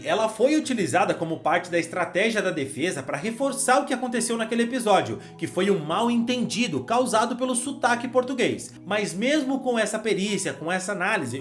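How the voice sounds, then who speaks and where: A man's voice: sits high, a bit bright. A man with a Brazilian accent hosting a football channel, giving his opinion in a casual, conversational way and addressing the viewer with slang.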